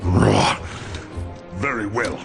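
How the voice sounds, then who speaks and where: Bombastic, swooping captive villain. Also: male voice, lowish voice, animated, very slow, over music, full character voice. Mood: angry, imperious. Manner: theatrical, commanding. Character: enraged, power-hungry male captive villain, bombastic. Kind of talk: video game villain acting.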